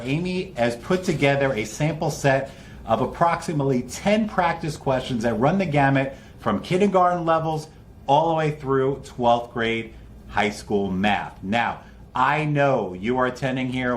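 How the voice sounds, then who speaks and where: A man's voice: medium pitch, expressive, a little slow, slight background noise. A man hosting an online test-prep session with an upbeat, informal and encouraging introduction.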